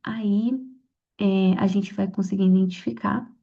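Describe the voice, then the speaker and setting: A young Brazilian woman, hoarse from a cold, chatting through her exam study notes with lots of fillers and restarts. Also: mid-range voice, flat delivery, a little slow, dark tone, lo-fi sound. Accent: Brazilian Portuguese. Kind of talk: casual study lesson to camera.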